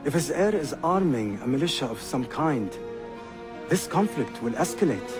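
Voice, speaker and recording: A man's voice: a little high, sing-song, moderate pace, music in the background. An actor playing a shrewd, resolute young Ottoman prince in a video game, speaking formally and precisely. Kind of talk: video game cutscene acting.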